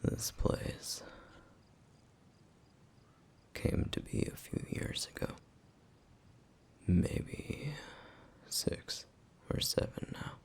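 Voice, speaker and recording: A man playing a brooding, protective flame demon in an ASMR roleplay, speaking in a grave, breathy, gravelly near-whisper with deliberate pauses. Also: natural voice. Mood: wistful, subdued. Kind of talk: ASMR roleplay, intimate scripted monologue.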